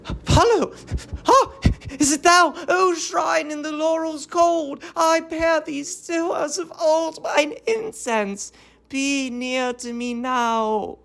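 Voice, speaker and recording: A woman performs a doomed young princess and prophetess of Greek tragedy. Her voice is high and slow, with creaky edges, declaiming in an ecstatic, vengeful incantation. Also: steady tone, fairly bright tone, heavily acted. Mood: frenzied rapture. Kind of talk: classical tragedy dramatic reading.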